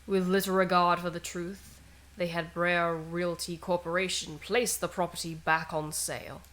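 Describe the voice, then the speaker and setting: A woman with a slightly low voice streams a game. She talks casually and turns measured and dramatic when she reads text aloud. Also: level tone, fairly bright tone. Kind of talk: casual playthrough chat and reading aloud.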